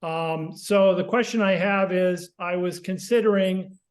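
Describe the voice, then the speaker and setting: A man asking a question on a casual technical group call, very halting, with frequent long hesitations. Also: high-pitched, steady tone. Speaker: club member asking a question.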